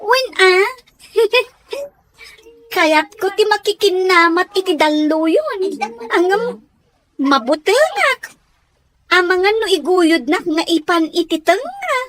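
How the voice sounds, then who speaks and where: A woman's voice: extremely high pitch, very animated, slow pace, pauses often, a bit bright, heavily acted. A woman playing a shy, respectful young housemaid in an Ilocano radio drama. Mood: timid, hesitant.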